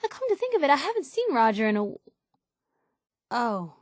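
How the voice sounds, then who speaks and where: A woman's voice: high voice, big pitch swings, brisk, dry recording, studio quality. An actress playing a plucky, wisecracking young woman reporter, a pushy but caring game companion, with a wry, conversational delivery. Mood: uneasy joking. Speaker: video game companion character. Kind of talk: scripted game voice lines.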